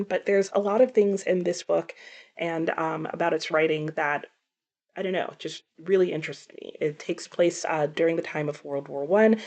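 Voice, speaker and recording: A woman's voice: mid-range voice, darkish tone. A middle-aged woman chatting casually to viewers about books, thinking aloud and correcting herself as she goes. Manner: musing, self-correcting, conversational. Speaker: book vlogger.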